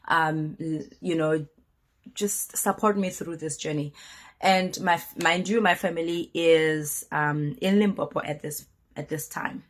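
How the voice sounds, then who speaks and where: A woman in her late twenties, husky from a cold, telling a candid personal story on her vlog in a chatty, hesitant way. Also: fairly low pitch. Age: late 20s. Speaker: vlogger.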